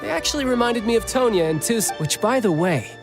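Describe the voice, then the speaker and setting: A man's voice: extremely high pitch, expressive, a little fast, music in the background. A man voicing a young male warrior in a video game, casual and cocky, teasing yet protective. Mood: friendly warning.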